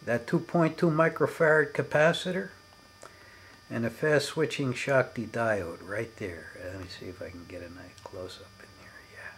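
A man giving an unscripted demonstration as a hobbyist, in halting, broken phrases with emphatic stresses. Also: medium pitch, fairly bright tone, slight background noise. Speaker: hobbyist demonstrating his electronics experiments.

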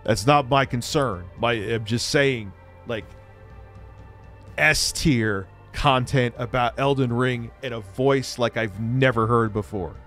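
A man's voice: medium pitch, slow, fairly bright tone. A middle-aged man ranting casually and bluntly while playing a game. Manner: blunt, profane, rambling. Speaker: gaming commentary streamer. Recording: game music under voice. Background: game sounds and music.

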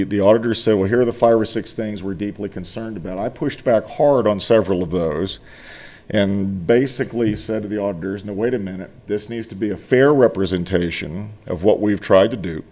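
Man, middle-aged, low voice, formal board report, earnest, emphatic, desk microphone, meeting room. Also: fairly quick, dark tone. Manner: earnest, emphatic, with frequent restarts. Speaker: college finance officer. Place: meeting room, seated at a table.

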